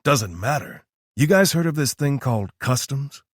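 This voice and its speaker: Man doing a blunt ex-soldier, skeptical, pushy, slightly raised pitch, dry audiobook. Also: expressive, moderate pace, no room sound, lightly acted. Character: blunt ex-military man, restless.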